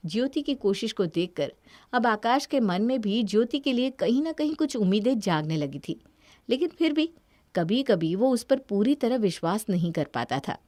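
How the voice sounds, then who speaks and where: A woman reads scripted story narration. Her voice is fairly high and the recording is very dry, with no room sound. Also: animated, moderate pace, a little mellow, dry recording.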